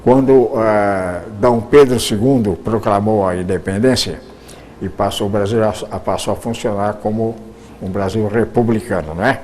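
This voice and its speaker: A man's voice: on the low side, fairly even intonation, normal speed, darkish tone, a little hiss or hum. Older man, from Brazil, radio broadcaster, rambling, repeats words while thinking. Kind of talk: reminiscing interview answers.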